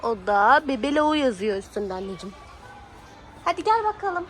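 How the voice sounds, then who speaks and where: A woman's voice: high voice, very animated, normal speed. A woman talking as a doting mother to her small child, in casual child-directed chat full of prompting questions. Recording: clearly noisy background.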